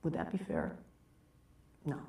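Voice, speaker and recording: A man, a doctor, talking casually and haltingly in an interview, with frequent pauses. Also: dark tone, reverberant, far from the mic. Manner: halting, with fillers and restarts.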